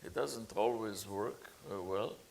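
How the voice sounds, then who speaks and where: Gravelly halting man on a panel. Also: fairly low pitch, darkish tone. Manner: halting, many uh fillers and restarts. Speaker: panelist, engineering professor. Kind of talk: conference panel remarks.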